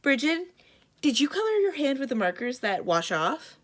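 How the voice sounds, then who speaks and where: An excitable storybook mother who alarms easily, played by a woman reading aloud. Her voice is high and very animated, full of dramatic exclamation. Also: moderate pace. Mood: suspicious, dismayed.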